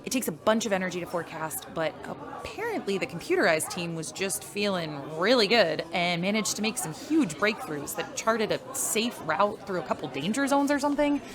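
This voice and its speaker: An actress playing a friendly, easygoing uploaded human mind, speaking in a casual, chatty way in a sci-fi radio drama. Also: female voice, mid-range voice, big pitch swings, moderate pace, hardly any pauses, a crowd in the background, lightly acted. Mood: friendly, candid. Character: friendly, easygoing female uploaded mind running a general store.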